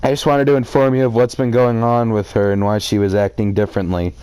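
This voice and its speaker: A man's voice: lowish voice, flat delivery, fast talker, mellow, clear, resonant tone, dry recording, poor audio. A man reading a story aloud and reacting to it with theatrical, exclamatory commentary and sarcastic asides. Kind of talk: live read-aloud with outraged commentary.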